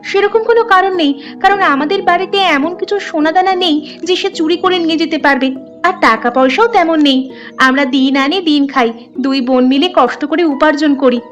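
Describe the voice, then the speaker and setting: A woman's voice: very high voice, fairly bright tone. A woman voicing a young village sister in a cartoon, earnest, hardworking and plain-spoken. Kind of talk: cartoon story dialogue. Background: music.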